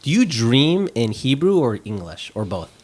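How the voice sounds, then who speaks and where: A man in his twenties co-hosting an interview, chatty and animated, laughing often. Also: medium pitch, moderate pace. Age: 20s. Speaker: podcast co-host. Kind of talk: casual interview chat.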